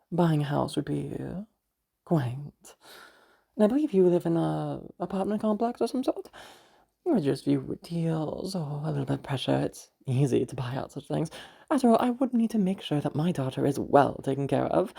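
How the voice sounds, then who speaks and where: A woman playing a domineering, manipulative mother in an audio roleplay, falsely sweet and condescending with drawn-out, veiled threats. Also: sits low, animated, vocal fry, mellow, breathy edge, full character voice. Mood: veiled menace. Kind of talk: amateur audio roleplay acting.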